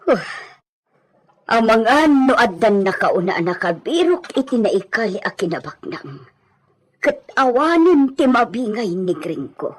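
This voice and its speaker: Actress as money-hungry village woman, husky, resentful, gossipy, audio drama dialogue. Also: fairly high pitch, very animated, a little slow, exaggerated voice, poor audio. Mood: curious. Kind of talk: Ilocano audio drama acting.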